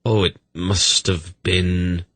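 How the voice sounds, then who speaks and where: A man's voice: deep voice, little pitch movement, takes it slowly, fairly bright tone, no echo, exaggerated voice. A man voicing a puzzled middle-aged prince in a storybook, hesitant and musing.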